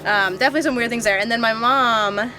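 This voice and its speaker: A woman's voice: on the high side, quick pace, a little hiss or hum. A young woman chatting casually as a podcast guest, telling stories animatedly and acting out quotes with frequent laughs. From Washington DC area, raised abroad. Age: young adult. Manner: animated storyteller, acts out quotes, laughs often. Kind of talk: casual conversation. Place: inside a van.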